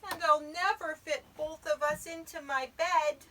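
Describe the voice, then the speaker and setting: A woman's voice: high-pitched, fairly even intonation, unhurried, pushed and bright, voice pushed up. A woman voicing a frightened little boy in a storybook read-aloud, gasping and exclaiming. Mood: amazed, dismayed. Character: frightened, wide-eyed little boy who lost his teddy.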